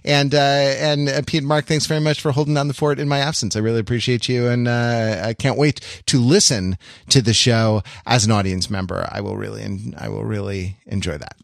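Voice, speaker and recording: A man hosting a podcast in casual conversation, talking in a halting, digressive way as he thinks aloud. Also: medium pitch, level tone, vocal fry, no room sound, clean studio sound. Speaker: podcast host.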